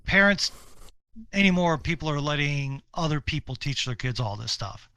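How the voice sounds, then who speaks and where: A man's voice: a little high, lively intonation, moderate pace, pushed and bright, smooth, dry recording. A middle-aged American man co-hosting a podcast, chatting informally and emphatically about his views. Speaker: podcast co-host. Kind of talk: casual opinionated chat.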